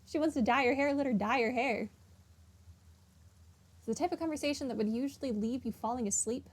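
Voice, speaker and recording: High-voiced woman doing game commentary. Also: fairly quick. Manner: wry, reacting aloud to the game. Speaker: gaming streamer. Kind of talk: casual playthrough commentary. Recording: game music behind the voice.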